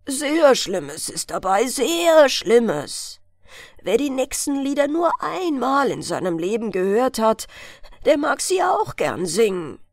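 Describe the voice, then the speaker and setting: A woman's voice: fairly high pitch, sing-song, takes it slowly, no echo, clean studio sound, exaggerated voice. Woman acting a stern old fisherman father, emphatic. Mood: ominous. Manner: emphatic, commanding, admonishing. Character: stern, vehement old fisherman father warning his daughter.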